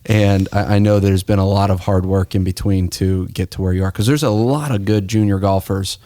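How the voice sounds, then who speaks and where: An American man hosts a conversational podcast interview. His voice sits fairly low, and he talks reflectively, like someone telling a story. The sound is dry and close, with no room echo. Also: steady tone, fairly quick, mellow. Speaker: podcast host.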